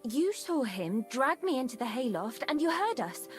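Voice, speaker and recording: A woman's voice: high voice, very animated, normal speed, soft background music. An actress playing a scheming, foul-mouthed, bossy woman in a video game, speaking in a conspiratorial, coarse way. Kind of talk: dramatic video game voice acting.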